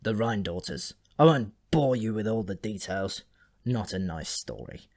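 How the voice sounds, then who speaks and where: A man's voice: fairly low pitch, lively intonation, unhurried, darkish tone. Male narrator as sour dwarf prince, dry, sneering and grumbling, for a comic fantasy storybook. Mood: aggrieved. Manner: dry, scornful, complaining.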